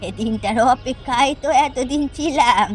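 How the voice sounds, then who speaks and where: A woman's voice: high-pitched, normal speed, natural voice. A woman voicing a vengeful, wicked village witch in a cartoon fairy tale, gloating and dramatic. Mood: vengeful glee.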